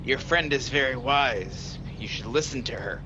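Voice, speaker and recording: Over clearly noisy home audio, a man plays a ruthless older street thug for a radio play. The voice sits at an ordinary male pitch and delivers threats with menace. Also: moderate pace, highs cut off, natural voice. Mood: menacing. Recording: noisy home video-call recording.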